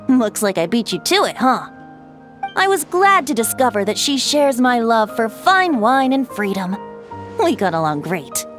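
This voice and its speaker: A woman's voice: a little high, natural voice. A woman voicing a playful, wistful ancient spirit in the shape of a youthful bard in a video game, with a lilting, teasing, theatrical delivery. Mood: lighthearted. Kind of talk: scripted game character storytelling. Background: background music.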